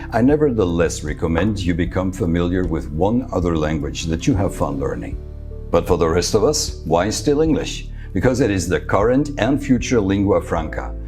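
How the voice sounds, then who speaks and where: A man from Serbia whose first language is Serbo-Croatian, giving a measured, scripted talk as a presenter. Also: low-pitched, a little mellow. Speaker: futurist presenter.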